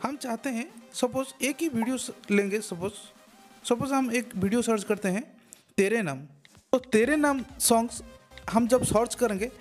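A man's voice: very high-pitched, darkish tone. A man giving a step-by-step tech tutorial voice-over, repeating himself and addressing listeners as friends. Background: background music.

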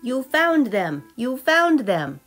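Storybook little girl bunny, played by a woman: cheerful, eager, higher-pitched, light music underneath. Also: big pitch swings, takes it slowly, fairly bright tone, exaggerated voice, poor audio. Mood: excited. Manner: eager, exclaiming.

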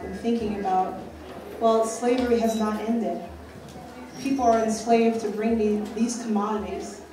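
A young woman speaks earnestly from a stage, piling up questions and leaning into her key words. Her voice carries through a very echoey hall, picked up from a distance. An audience can be heard around her. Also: mid-range voice. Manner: earnest, questioning, builds emphasis. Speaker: keynote speaker. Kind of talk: public lecture. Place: dark stage at a festival, indoors. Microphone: handheld mic on a stand. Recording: roomy hall from a far camera, audience.

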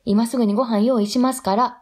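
An actress playing a brisk, teasing woman of a household, with a Kansai accent, in a radio drama. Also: fairly high pitch, steady tone, darkish tone, natural voice.

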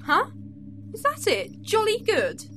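A woman's voice: extremely high pitch, huge pitch swings, slow pace, slight background noise, heavily acted. An actress playing a clever, sarcastic Time Lady companion in an amateur audio drama. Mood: exasperated. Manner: sharp, sarcastic, exasperated.

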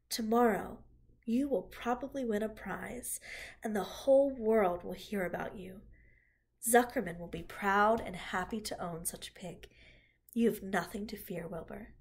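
A woman doing a gentle whispering spider. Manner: soft, low, often whispered. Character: gentle wise female spider, tired and calm.

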